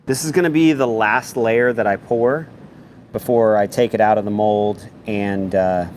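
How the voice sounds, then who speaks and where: A man's voice: on the low side, normal speed, a little hiss or hum. A middle-aged man narrating his woodworking in a casual, jokey, matter-of-fact way from his workshop. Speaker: woodworking video maker. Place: woodworking shop.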